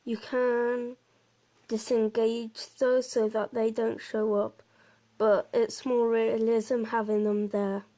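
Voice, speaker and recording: A woman's voice: on the high side, little pitch movement, slow, dark tone. A woman giving a casual gameplay commentary, explaining things in an informal, meandering and slightly hesitant way. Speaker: presenter of a game walkthrough.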